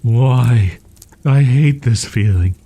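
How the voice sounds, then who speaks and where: A man playing a proud, wounded young wolf-boy in an audio roleplay, stammering in archaic, formal phrasing with a husky, breathy voice. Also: mid-range voice, slow pace, dark tone. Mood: bitter, wounded. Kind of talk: roleplay audio drama, ASMR.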